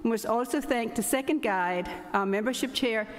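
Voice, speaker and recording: A woman's voice: fairly high pitch, normal speed. A middle-aged woman from Denmark with a Danish accent, hosting a formal ceremony with chatty asides. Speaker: ceremony host, chapter president. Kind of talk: formal welcome speech. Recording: reverberant hall.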